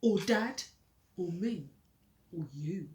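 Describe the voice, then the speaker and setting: A woman voicing a scheming, blustering young boy from a children's storybook, with a forceful, melodramatic delivery. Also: fairly low pitch, expressive, slow, recorded from a distance, voice pushed down. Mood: scheming, ominous. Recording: roomy echo.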